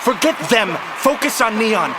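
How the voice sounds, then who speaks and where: A commanding young cartoon team leader, speaks urgently in a high voice. His pitch swings widely as he gives indignant orders, with clear noise behind him. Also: animated, unhurried, over a noisy crowd. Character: tense young rich male team captain, commanding, exasperated.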